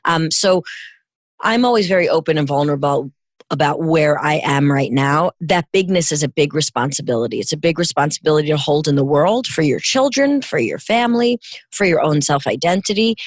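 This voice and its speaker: Raspy, hoarse New York woman. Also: sits low, normal speed, no echo, clean studio sound. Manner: emphatic, expansive and outgoing. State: hoarse from illness. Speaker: podcast guest. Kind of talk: casual interview chat.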